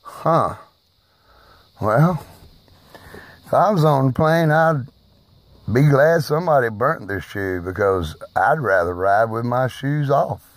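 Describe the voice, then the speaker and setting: A man speaking slowly and haltingly in a personal video monologue, who is hard of hearing and raises his voice at times. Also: medium pitch, animated, dry recording. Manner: slow, halting, emphatic delivery.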